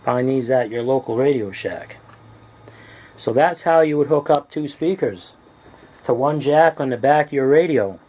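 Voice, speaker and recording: A man presenting a casual hands-on tutorial as a hobbyist, speaking in a halting, deliberate, plain-spoken way. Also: mid-range voice, a little mellow, poor audio. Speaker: hobbyist presenter demonstrating radio gear.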